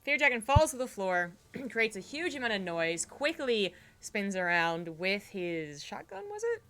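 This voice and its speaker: A woman's voice: medium pitch, sing-song, a little slow, fairly bright tone. A woman narrating a tabletop horror game as game master, rambling with fillers and restarts.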